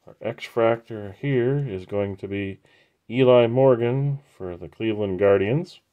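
A man with a fairly low voice talks slowly as he opens trading card packs for his viewers. He names each card plainly and unhurriedly, with the easy pace of a regular card-break host. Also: narrow, tinny audio. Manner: slow, unhurried, matter-of-fact listing. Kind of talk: casual pack-opening commentary.

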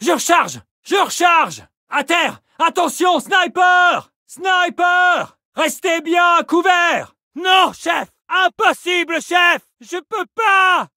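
An actor playing a fierce French soldier, barking urgent, commanding battle lines for a video game. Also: male voice, very high voice, lively intonation, slow pace, few pauses, sharp tone, no room sound, raised voice, studio quality, heavily acted. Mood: urgent, alarmed.

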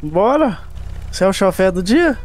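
Man, Brazilian accent, high pitch, casual slangy talk, online gamer. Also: sing-song, moderate pace, slight background noise. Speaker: fellow online player. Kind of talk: casual role-play gameplay chat.

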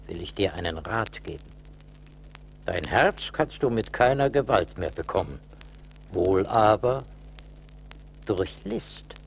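Stern ancient little woodland spirit, deliberate radio-play delivery, slow, faint vinyl hiss. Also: male voice, sits low, gravelly, exaggerated voice, low-quality recording. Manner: solemn, deliberate, admonishing. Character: stern but pitying little ancient male forest spirit. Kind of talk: 1980s German radio play acting.

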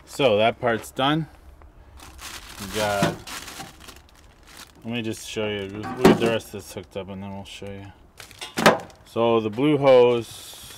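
A man's voice: on the low side, slight background noise. A middle-aged man casually walking through a product setup step by step, outdoors in the woods. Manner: easygoing, explains step by step while handling gear. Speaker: camping vlogger. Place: outdoors in sunny woods by a vehicle.

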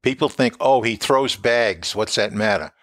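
An older man co-hosting an aviation safety podcast, explaining his points in a measured way. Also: medium pitch, fairly bright tone, no room sound, studio quality. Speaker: podcast co-host, former safety board member. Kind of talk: conversational podcast discussion.

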